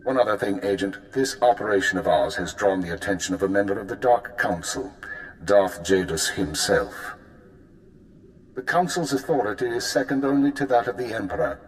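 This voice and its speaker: A man plays an imperial intelligence officer briefing an agent. His lowish voice is formal and crisp, over faint ambience. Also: normal speed, slight background noise, natural voice, poor audio.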